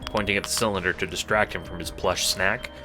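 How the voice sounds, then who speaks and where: A man's voice: sits low, steady tone, fairly quick, pushed and bright, soft background music. A man narrating an audio drama in the second person with a wry, theatrical, sardonic manner.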